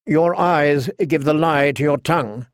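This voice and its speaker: A man's voice: on the high side, measured pace, smooth, no echo, clean studio sound, natural voice. Man acting a gallant young knight, lifted courtly voice. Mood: gently concerned. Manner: courtly, gentle, earnest. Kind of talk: audiobook character voice.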